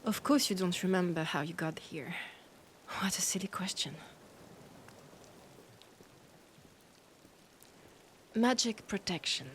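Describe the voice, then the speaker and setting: A woman with a French accent playing an annoyed, condescending vampire scholar in a roleplay audio drama, often dropping into breathy whispers. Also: fairly low pitch, slight background noise. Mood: haughty, dismissive. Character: annoyed, haughty female vampire scholar, ancient and hungry.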